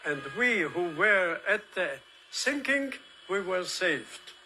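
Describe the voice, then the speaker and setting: An older man giving eyewitness testimony in an interview, speaking in halting, deliberate phrases. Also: sits high, expressive, pushed and bright, a little hiss or hum, rough recording.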